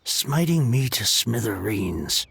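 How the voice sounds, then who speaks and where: A man's voice: medium pitch, big pitch swings, slow pace, vocal fry, a bit bright, husky, dry recording, exaggerated voice. A man voicing a bitter, dramatic, bankrupt book collector in a video game, ranting and lamenting theatrically. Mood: indignant, despairing.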